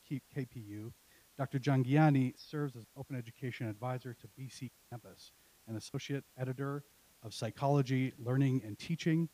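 A middle-aged man hosting an academic event, reading an introduction from notes into a handheld mic with hesitant pauses. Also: medium pitch, mellow, poor audio. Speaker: event host, university Spanish professor. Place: university classroom. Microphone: handheld microphone.

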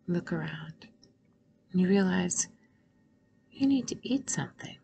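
A woman with a lowish voice leads a guided meditation. She speaks very slowly and soothingly, leaving long pauses. Heavy creak runs through her voice, and phrases often soften into a breathy near-whisper. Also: expressive.

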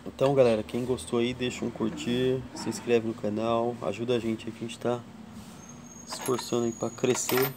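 A man from Brazil hosting a casual tool vlog at his workshop bench, slowly counting wrench sizes and chatting to viewers. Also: medium pitch, level tone, background noise. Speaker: tool channel host. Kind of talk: casual show-and-tell vlog.

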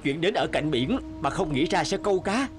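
A man voicing a poor, patient guitarist who turns fisherman in a fairy-tale cartoon, with expressive, exclamatory delivery. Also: fairly high pitch, moderate pace, over music, natural voice. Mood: excited.

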